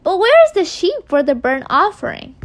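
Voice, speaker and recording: A woman's voice: extremely high pitch, big pitch swings, normal speed, pitched above the performer's own voice, full character voice. A woman voicing an anxious, bewildered teenage son who stammers questions at his father in casual modern phrasing. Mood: curious.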